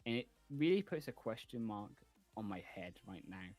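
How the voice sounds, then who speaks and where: A man's voice: lowish voice, big pitch swings, normal speed, a little mellow, soft background music. A man casting esports, analyzing teams fluently and confidently and closing the broadcast. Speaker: esports caster.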